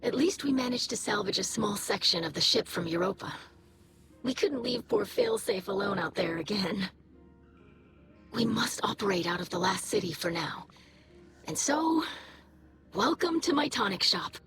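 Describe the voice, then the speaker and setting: A woman's voice: mid-range voice, sing-song, moderate pace, long pauses. A woman voicing a young alien scholar and tonic maker in a video game, speaking carefully and formally with earnest concern. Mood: worried, sad. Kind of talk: video game character dialogue. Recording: game music behind the voice.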